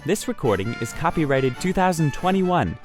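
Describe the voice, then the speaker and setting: A man's voice: on the high side, very animated, normal speed, music in the background. A man reading a scripted introduction to an audio story as its announcer.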